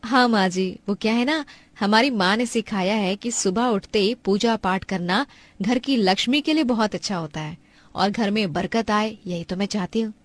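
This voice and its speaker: A woman's voice: mid-range voice, normal speed, dry recording, voice pushed down, natural voice. A woman voicing a pious, dutiful young daughter-in-law in a family cartoon, polite and deferential. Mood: earnest. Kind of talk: Hindi family cartoon voice acting.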